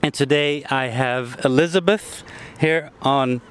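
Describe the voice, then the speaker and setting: A man's voice: mid-range voice, measured pace. A man hosting a casual interview outdoors, speaking somewhat hesitantly as he searches for words. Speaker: interview host. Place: outdoors on a bench in a busy city square. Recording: clear outdoor traffic and city noise. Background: traffic and city ambience.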